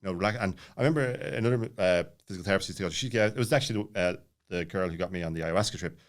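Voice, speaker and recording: Low voiced guy late 30s, talks really fast, rambles all over the place, super casual storytelling. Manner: rambling, jumps around, many filler words. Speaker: podcast guest.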